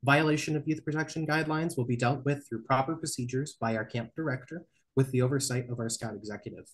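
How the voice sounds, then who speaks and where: A young man presenting camp rules from slides on a video call, reading points out with some fillers and restarts. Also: mid-range voice. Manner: reads slide points, spells out links slowly, some fillers. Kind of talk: slideshow briefing. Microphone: webcam on video call.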